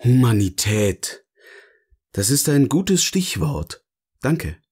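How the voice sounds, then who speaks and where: Ein Mann spielt in einem Hörspiel einen eloquenten, sardonischen Erzähler, der bedächtig und theatralisch philosophiert. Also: male voice, fairly low pitch, darkish tone. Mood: musing, relieved. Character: eloquent, sardonic male narrator finally free to speak. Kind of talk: scripted audio drama dialogue, philosophical musing.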